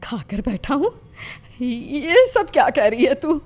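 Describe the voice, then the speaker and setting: Lady doing a worried cartoon mom, high voice, swings up n down, pleading, music behind. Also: sing-song, takes it slowly, darkish tone, exaggerated voice. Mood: anxious. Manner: fretful, pleading. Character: anxious, doting mother of a sick daughter. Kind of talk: animated story dialogue.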